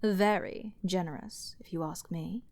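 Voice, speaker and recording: A woman playing an arrogant, flirty and menacing princess in a dramatic fantasy ASMR roleplay, teasing and theatrical, with drawn-out words and dips toward a whisper. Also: lowish voice, a little mellow, no room sound. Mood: haughty, businesslike. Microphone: close studio mic, dry.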